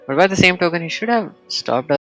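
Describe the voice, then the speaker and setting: A man's voice: sits high, lively intonation, quick pace, bright, forceful tone, faint music behind, poor audio. A man streaming a game with casual, informal, off-the-cuff playthrough commentary.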